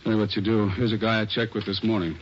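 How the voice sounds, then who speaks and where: A brisk, authoritative detective chief played by a male actor gives terse direction in a very low voice. The vintage radio recording is dull and clearly noisy. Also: level tone, lightly acted. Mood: brisk, commanding. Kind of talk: 1940s radio acting.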